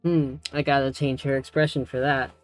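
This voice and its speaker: Woman chatting hesitantly in a mid-low register. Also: flat delivery, moderate pace, no echo, soft background music. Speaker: tutorial co-host, learner. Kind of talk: casual chat, tutorial recap.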